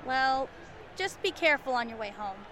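A woman's voice: high-pitched, lively intonation, moderate pace, fairly bright tone, a little hiss or hum. A woman playing a caring, polite diner waitress in an old-time style radio drama. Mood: concerned, kindly. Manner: polite, solicitous. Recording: music playing over the voice.

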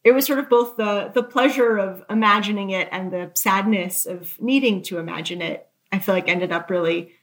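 A woman's voice: medium pitch, normal speed, hardly any pauses, low-quality recording. A woman author being interviewed, talking candidly and conversationally, with self-deprecating asides and many loose hedges. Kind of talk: conversational interview, telling anecdotes.

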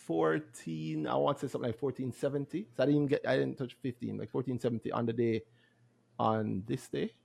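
Guy with a gravelly voice, joking around about stocks on a podcast. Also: mid-range voice, a little fast, dry recording. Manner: animated, playful, self-mocking. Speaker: podcast co-host. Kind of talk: casual chat about stocks.

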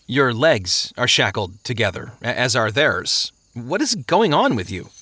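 A man's voice: on the low side, measured pace, sharp tone, no echo. A man voicing an earnest, anxious pilgrim in an audiobook, insistent and preachy as he pleads with others. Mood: concerned, baffled. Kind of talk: audiobook character voice.

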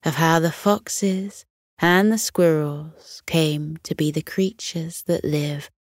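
A woman narrating a scripted children's bedtime story in a gentle, soothing manner. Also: deep voice, fairly even intonation, takes it slowly, dry recording, studio quality.

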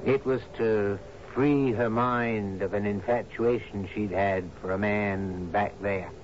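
A man's voice: on the low side, steady tone, slow pace. An actor in a radio drama playing a loyal, agreeable young man, deferential and hearty toward his captain. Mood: loyal, reminiscing. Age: 20s. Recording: dull, noisy 1970s radio recording.

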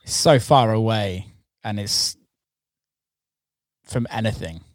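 A man's voice: lowish voice, takes it slowly. A man hosting a podcast, asking curious questions in an easygoing, casual way. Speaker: podcast host.

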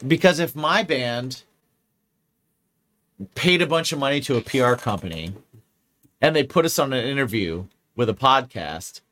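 A man's voice: mid-range voice, expressive, moderate pace, long pauses, a bit bright. A man co-hosting a podcast in casual chat, rambling and restarting his sentences and swearing freely. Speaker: podcast co-host.

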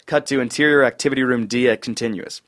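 A man reads screenplay directions aloud at a table read. His pitch is average for a man, and he keeps the delivery casual and lightly amused. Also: fairly even intonation, fast talker, fairly bright tone. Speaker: reader of stage directions. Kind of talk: scripted screenplay narration.

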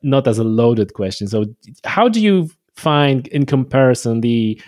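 A man's voice: mid-range voice, lively intonation, unhurried, a little mellow. A man from Poland, hosting a podcast interview, eager and rambling with frequent restarts. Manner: enthusiastic, rambling, many restarts. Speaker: podcast host. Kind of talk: conversational interview.

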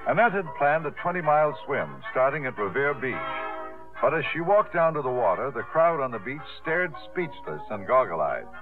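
An actor as a wry, genial storyteller narrating a scripted 1950s radio drama. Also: male voice, medium pitch, big pitch swings, normal speed, music in the background, close to an everyday voice. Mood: wry, dramatic. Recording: muffled old radio recording.

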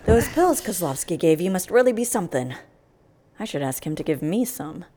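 A woman playing a wry, matter-of-fact colleague in an audio comedy, telling grisly details in a deadpan, teasing way. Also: on the low side, moderate pace. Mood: wryly explaining. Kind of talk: audio comedy drama dialogue.

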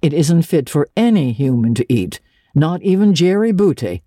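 A woman doing a stern older storybook spinster who meets every fuss with curt, dry remarks. Her voice is low and dark, recorded close and dry. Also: sing-song, moderate pace, no room sound.

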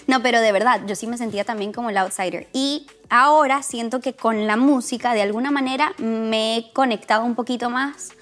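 A young woman from Venezuela hosting a podcast, talking with warm enthusiasm and mixing Spanish and English. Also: a little high, fairly even intonation, a little fast, hardly any pauses, soft background music. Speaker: podcast host. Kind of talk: casual bilingual interview chat.

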